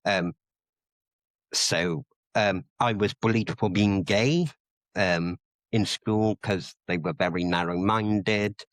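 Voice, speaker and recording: A man's voice: low voice, steady tone, slow, no echo. A Welsh man who speaks Welsh natively but sounds English, chatting nervously on a podcast and hesitating with many ums. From Wales. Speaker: podcast co-host. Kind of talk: casual chat.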